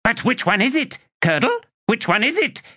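A man voicing Telerast, an excitable skeleton spirit with a shrill, squabbling voice, in an audiobook reading. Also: moderate pace, slightly rough, dry recording, clean studio sound, heavily acted. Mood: gleeful squabbling.

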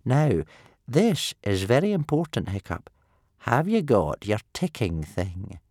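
A man voicing a wheezy, ancient Viking grandfather who speaks in gloomy, hushed tones. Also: lowish voice, big pitch swings, slow, no echo, clean studio sound, exaggerated voice. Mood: earnest.

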